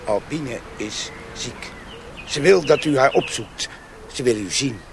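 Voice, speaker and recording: An actor playing a fiery, defiant freethinking countryman in a radio play, forceful and accusing. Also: mid-range voice, lively intonation, takes it slowly, creaky, a little hiss or hum. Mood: urgent, insistent. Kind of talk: Dutch radio play acting.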